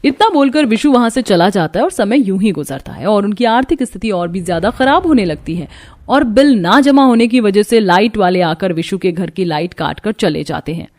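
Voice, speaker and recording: Woman calmly narrating a cartoon story. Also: moderate pace. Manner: calm, steady, lower than her character voices.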